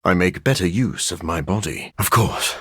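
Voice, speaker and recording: A weary, cerebral vampire scientist for a video game. His low-set male voice is husky and swings freely in pitch as he delivers formal, measured lines with dry gloom. The recording is close and dry. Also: a bit bright, dry recording. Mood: measured, scholarly. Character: brooding vampire scientist man, cerebral, dryly weary antihero.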